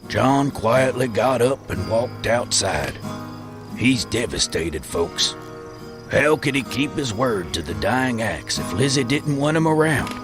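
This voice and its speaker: A man narrates a scripted story in a dramatic style. His delivery is slow and mid-pitched, with guitar music clearly behind him. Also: very animated.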